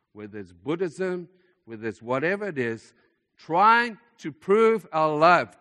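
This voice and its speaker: Man preaching in a reverberant hall. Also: fairly high pitch. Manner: emphatic, repeats phrases for effect, swings between hushed and forceful delivery. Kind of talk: sermon. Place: large hall, speaking to a congregation. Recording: echoey hall with long reverb.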